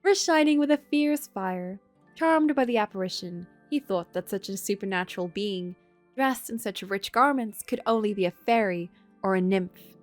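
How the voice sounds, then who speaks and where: A woman's voice: mid-range voice, expressive, normal speed. Woman reading a story over music. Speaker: livestreamer reading a visual novel aloud. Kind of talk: story narration mixed with casual stream commentary.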